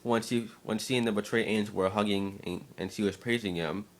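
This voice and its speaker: Low-voiced man narrating a story. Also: fairly even intonation, a little fast. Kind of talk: dramatic reading of fan fiction.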